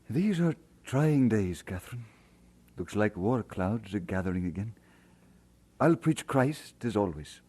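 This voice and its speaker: An actor playing an earnest, modest Scottish minister in an audio drama, with a husky voice and expressive delivery. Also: male voice, lowish voice.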